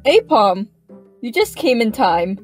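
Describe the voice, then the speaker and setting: A woman's voice: a little high, sing-song, slow, soft background music. A woman playing a friendly, hospitable monkey-creature shopkeeper in an audio drama, chatty and welcoming. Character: friendly, hospitable female Monferno bakery shopkeeper.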